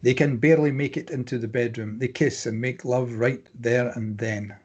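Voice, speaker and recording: A man with a husky voice reading stage directions as scripted narration at a table read. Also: medium pitch, fairly even intonation, moderate pace, darkish tone, dull audio.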